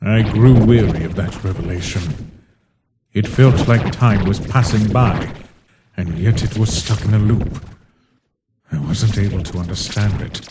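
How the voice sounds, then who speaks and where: A man voicing a weary, brooding ancient being who narrates a comic dub in a solemn, measured way. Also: fairly low pitch, noisy background, natural voice. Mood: weary, somber. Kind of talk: scripted first-person comic dub narration. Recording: voice under loud music.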